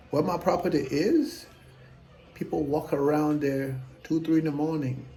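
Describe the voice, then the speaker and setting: A man's voice: on the high side, vocal fry, dark tone, rough recording. A man in his fifties, talking casually to camera as an investing vlogger, blunt, self-assured and rambling. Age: 50s.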